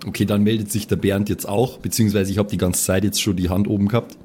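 A man's voice: fairly low pitch, flat delivery, quick pace, warm tone, a little hiss or hum. Man chatting casually at game table. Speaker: tabletop role-play player. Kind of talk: casual improvised game talk.

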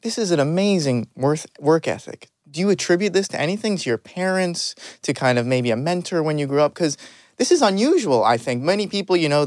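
A man's voice: on the high side, darkish tone, dry recording. A man hosting an interview, asking curious, conversational questions. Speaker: podcast host, interviewer.

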